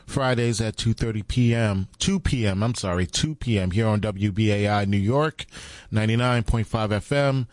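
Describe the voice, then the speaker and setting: A man's voice: lowish voice, moderate pace, darkish tone, no echo. A man reading a radio station announcement in a plain manner. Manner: plain, correcting himself.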